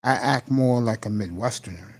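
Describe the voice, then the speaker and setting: A man hosting a casual conversation, speaking earnestly and encouragingly with many pauses and fillers. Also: medium pitch. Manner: warm, encouraging, hesitant fillers. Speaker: host.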